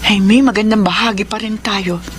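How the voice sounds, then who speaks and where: A woman's voice: medium pitch, fairly even intonation, moderate pace, a little hiss or hum, close to an everyday voice. A woman playing a humble, devout mother. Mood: grateful. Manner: humble, polite. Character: poor devout mother, later a business owner.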